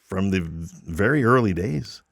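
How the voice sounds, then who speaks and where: A man hosts a casual podcast chat, joking and teasing his guest. His voice sits low with a gravelly edge, and the sound is dry and close. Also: expressive, normal speed, no room sound. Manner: joking, teasing, playful asides. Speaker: podcast host.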